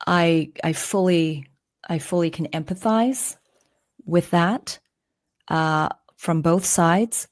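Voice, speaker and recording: A woman's voice: low voice, no echo, clean studio sound. A middle-aged woman from Canada, a former news anchor answering as a guest in a live interview, earnest and emphatic as she tells a story.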